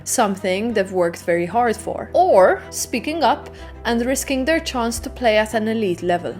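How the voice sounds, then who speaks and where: A woman's voice: fairly high pitch, darkish tone. A woman narrating a scripted video essay as host, reading it out in a presentational way. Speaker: host of a women's football show. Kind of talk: scripted essay narration and table-read discussion.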